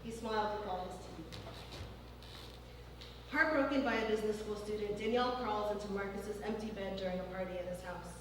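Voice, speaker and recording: A woman reading her fiction aloud at a live event in a large former church hall, in a measured, even way. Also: mid-range voice. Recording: distant mic in a very echoey hall, faint noise.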